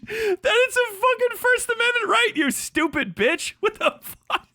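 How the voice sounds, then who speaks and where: A man's voice: very high-pitched, animated, measured pace, bright, forceful tone, no room sound. A man hosting a live stream, ranting heatedly and profanely with frequent laughs. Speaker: streamer host.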